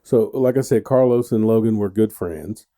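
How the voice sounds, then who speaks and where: A man's voice: on the low side, moderate pace, mellow. A middle-aged man hosting a casual radio interview, rambling and joking with plenty of restarts. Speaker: radio show host.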